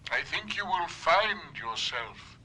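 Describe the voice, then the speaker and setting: An actor playing a stern, formal old professor in a 1970s radio drama. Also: male voice, sits high, very animated, pushed and bright, a little hiss or hum, heavily acted, poor audio. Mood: stern, confident. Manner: formal, deliberate. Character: stern, self-assured Italian professor, fierce old inventor.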